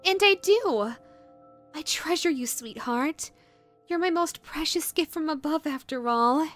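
A woman's voice: high-pitched, lively intonation, a little slow, fairly bright tone, soft background music. A woman voicing a possessive, sweetly menacing yandere rabbit woman in a roleplay audio drama, coaxing and condescending in a saccharine way. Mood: sweet, doting.

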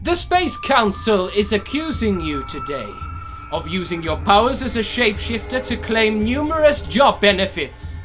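A man playing an outraged space council judge who issues threats and shouts. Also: high-pitched, very animated, moderate pace, music in the background.

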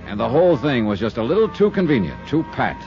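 A hard-boiled investigator in an old radio detective drama, terse, with a lively rise and fall in his voice. The recording is dull and vintage, with music playing clearly under it. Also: medium pitch, fast. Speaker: insurance investigator and narrator. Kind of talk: 1950s radio detective drama, first-person narration.

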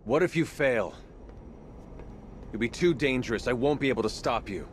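An actor playing an earnest, resolute young masked sidekick in a video game, speaking plainly and urgently. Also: male voice, mid-range voice, lively intonation, normal speed, slight background noise, lightly acted. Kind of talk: video game hero acting.

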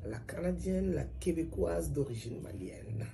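A middle-aged woman from Mali, a singer and griot, giving measured, reflective interview answers with pauses. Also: low-pitched, lively intonation, creaky, mellow, slight background noise. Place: plain indoor room, seated at a table.

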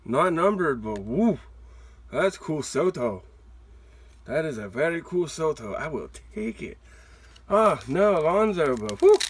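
A man gives casual, excited commentary as he opens trading cards. His voice sits fairly high and moves up and down a great deal, with an unhurried pace. He is playful and quick to exclaim. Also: background noise. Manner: exuberant, exclaiming and whooping, playful. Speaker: host of a trading card opening channel. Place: indoors, at a table with cards.

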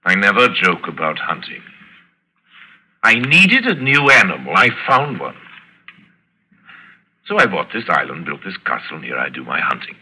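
An older aristocratic big-game hunter in a vintage radio thriller. His low voice swoops widely and cuts through with a sharp, forceful edge. He is suave, deliberate and chilling, relishing every phrase. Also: very animated, slightly rough, exaggerated voice, low-quality recording. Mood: intense, exultant. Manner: suave, deliberate, theatrical, savoring words. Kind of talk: 1940s radio acting. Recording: vintage radio drama broadcast recording.

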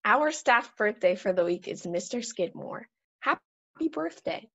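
Young woman, husky voice, reads school notices, dull recording. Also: mid-range voice, expressive, unhurried, fairly bright tone. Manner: reading a script. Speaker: student announcer. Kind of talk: school morning announcements.

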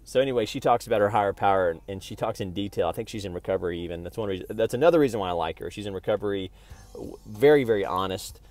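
A man's voice: fairly low pitch, racing, darkish tone. A man vlogging in a casual, rambling monologue, digressing often and talking in slangy, profane everyday speech.

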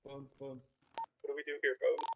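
A man's voice: mid-range voice, completely flat delivery, moderate pace, creaky, lo-fi sound. A man playing a bemused, blunt police officer in a casual roleplay game. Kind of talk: improvised online roleplay game.

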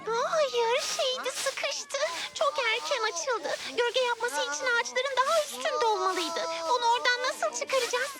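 A woman's voice: very high-pitched, moderate pace, hardly any pauses. A woman voicing an eager, kind little girl heroine in a dubbed children's cartoon, speaking earnestly. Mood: determined, concerned. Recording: music behind the voice.

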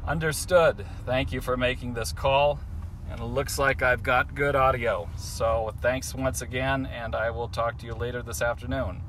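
A man making a test call in radio style, speaking in a formal, courteous, deliberate manner. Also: mid-range voice, steady tone, a bit bright. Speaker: caller testing a satellite phone. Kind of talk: radio-style phone exchange saying over. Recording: noisy microphone near external speaker, vehicle-like hum.

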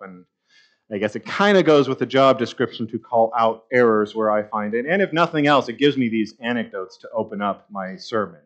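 A man in his thirties preaching a sermon at a church pulpit, conversational and wry with sarcastic asides. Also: mid-range voice. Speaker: pastor. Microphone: pulpit mic, far camera. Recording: slightly dull church livestream audio.

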